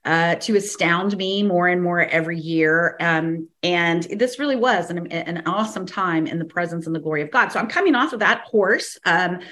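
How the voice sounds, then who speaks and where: A woman with a slightly hoarse, fairly low voice hosts her teaching podcast. She talks in a rambling, casual way, full of fillers and restarts, and laughs briefly between thoughts. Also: normal speed, hardly any pauses, fairly bright tone. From American South. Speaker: podcast host and ministry teacher.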